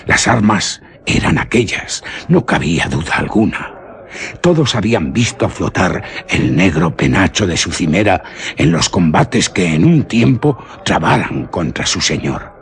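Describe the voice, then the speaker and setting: Very deep-voiced male Spanish storyteller. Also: sing-song. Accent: Spain. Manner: solemn, measured, literary delivery. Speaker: radio drama narrator. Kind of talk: scripted suspense storytelling for radio theatre.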